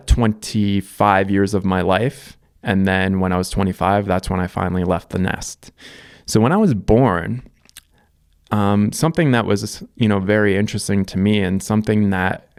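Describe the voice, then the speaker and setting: Canadian man, 30s, low smooth voice, relaxed reflective storyteller, close dry mic. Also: no room sound. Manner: reflective, loose, lots of fillers and restarts. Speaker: vlogger. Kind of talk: casual life-story monologue.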